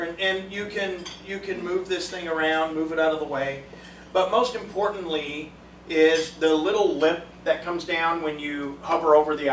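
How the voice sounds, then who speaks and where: Chatty man teaching software in an echoey room. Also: on the high side, slight background noise. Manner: chatty, jokey, restarts often. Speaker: trainer. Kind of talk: casual training talk. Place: meeting room, in the room with the group. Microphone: room mic, far from the speaker. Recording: echoey room recorded from far off.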